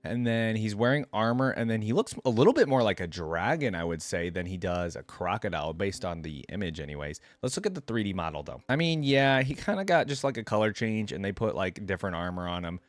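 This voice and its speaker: A man's voice: on the low side, expressive, a little fast, hardly any pauses, dry recording. A man giving casual, informal reaction commentary as the host of a gaming channel, thinking aloud as he goes.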